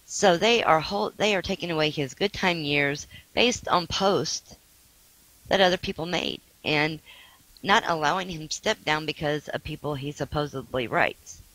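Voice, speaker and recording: A hoarse middle-aged woman making an earnest, indignant spoken appeal for support on behalf of a family member. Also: deep voice, unhurried, fairly bright tone, no room sound, poor audio.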